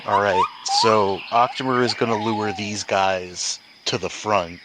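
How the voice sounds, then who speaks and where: A man's voice: lowish voice, measured pace, noisy background. A man hosting and narrating a tabletop roleplaying game as game master.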